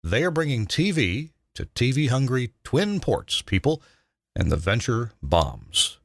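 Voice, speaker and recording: An older man, a retired broadcast engineer, narrating a scripted memoir in a measured, dramatic way with emphatic stresses. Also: mid-range voice, big pitch swings, vocal fry, no room sound, studio quality.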